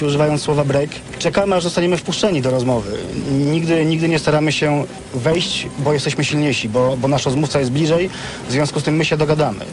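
A middle-aged man answering interview questions in an explanatory, opinionated, articulate way. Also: a little high. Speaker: veteran CB radio user, interviewee. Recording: clearly noisy, vehicle sounds behind.

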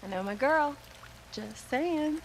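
A woman's voice: high voice, lively intonation, takes it slowly, noisy background, exaggerated voice. A woman acting a bold, teasing teenage rebel in a video game, with an American accent and a casual, coaxing way of talking. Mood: playful.

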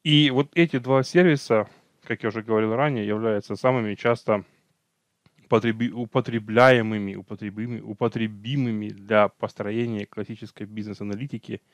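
A man's voice: lowish voice, fairly even intonation, brisk, no room sound. A man teaching an online technical training over slides, talking unhurriedly, with hesitations and self-corrections.

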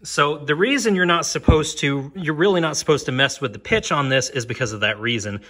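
A man's voice: fairly high pitch, a little fast, sharp tone. A man giving a casual, hands-on technical demo in an informal, explanatory way. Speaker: hobbyist demonstrating siren equipment. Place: on a concrete floor beside open equipment.

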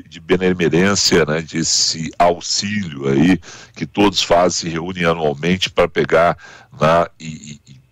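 A man in his thirties with a Gaúcho accent hosting a radio interview, chatty and animated, full of tag questions. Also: low-pitched, no echo. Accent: Gaúcho Portuguese. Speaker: radio host. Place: radio studio. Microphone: studio mic with headphones.

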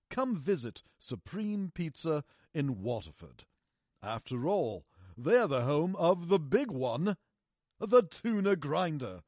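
A man's voice: fairly high pitch, dry recording, clean studio sound. A man announcing an old-time radio play and reading its sponsor spot from a script.

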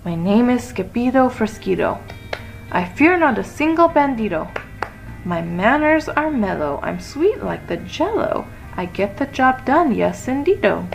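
A woman's voice: fairly high pitch, very animated, unhurried, creaky, faint music behind, heavily acted, rough recording. A woman voicing a boastful, playful little boy kitten with a put-on Spanish accent, in a children's storybook. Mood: singsong boasting. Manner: playful, dramatic, exclaiming. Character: boastful, excitable little boy kitten playing a Chihuahua swordfighter.

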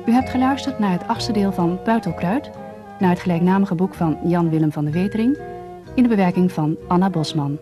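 A woman announcer reading scripted radio drama credits in a formal, measured manner. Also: fairly low pitch, over music.

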